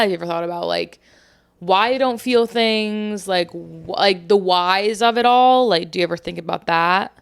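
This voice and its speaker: A woman's voice: on the low side, gravelly, fairly bright tone, clean tone. A young woman as a podcast guest in casual chat, rambling with many fillers.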